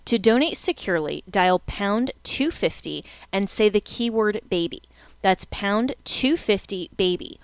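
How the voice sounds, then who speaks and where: A woman reads a scripted radio appeal smoothly and sincerely. The recording is dry and close, with no room sound. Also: medium pitch, no room sound. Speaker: ad announcer. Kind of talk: scripted ad read.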